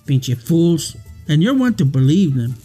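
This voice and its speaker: A man's voice: on the high side, sing-song, a little slow, dark tone. A man playing a shady, conspiratorial club insider peddling secrets in slangy talk in a radio comedy. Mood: sly, scornful. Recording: music behind the voice.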